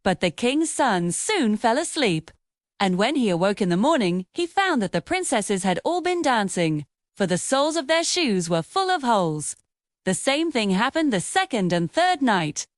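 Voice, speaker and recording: A woman narrates a scripted fairy tale. Her voice is high and melodically varied. The sound is dry, with no room around it. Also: big pitch swings, normal speed, dry recording. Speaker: storyteller.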